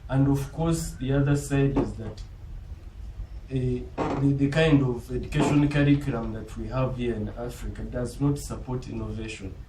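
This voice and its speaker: A man with a Ugandan accent giving a formal, earnest, deliberate self-introduction as a business school applicant. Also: mid-range voice, steady tone. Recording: roomy, distant mic, faint background noise.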